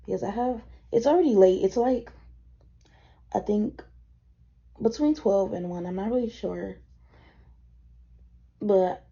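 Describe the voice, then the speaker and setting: A tired woman of twenty-one, chatting casually and rambling as she does her makeup at home, her voice a little deeper than usual from tiredness. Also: unhurried, long pauses, dark tone, breathy edge, muffled recording. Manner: rambling, easily sidetracked, swears casually. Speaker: vlogger. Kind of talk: casual get-ready-with-me chat.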